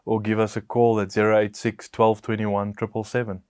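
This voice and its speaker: A man with a low voice that stays flat and even as he speaks. He talks haltingly, starting sentences over and over. The sound is dry and close-miked. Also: clean tone, no room sound. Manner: halting, hesitant, many restarts. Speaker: podcast co-host.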